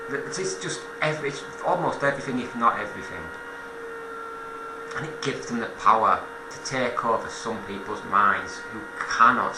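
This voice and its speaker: A man giving an informal political monologue as a commentator, halting and self-correcting, repeating phrases for emphasis. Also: mid-range voice, reverberant, heavy background noise.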